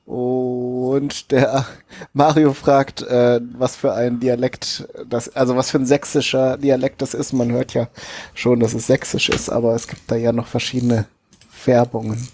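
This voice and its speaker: A man's voice: mid-range voice, flat delivery, unhurried, darkish tone, slight background noise. A man talking casually in a group discussion, acting as co-host.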